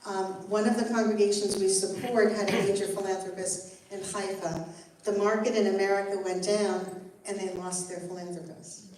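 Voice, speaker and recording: A woman's voice: mid-range voice, steady tone, moderate pace. An older woman teaches as a guest rabbi in an earnest, encouraging way, speaking straight to the group. Her voice is recorded from a distance in a very echoey hall, with a faint hiss underneath. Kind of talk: Torah study teaching with Q&A. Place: synagogue hall. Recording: echoey room, mic far off, faint noise.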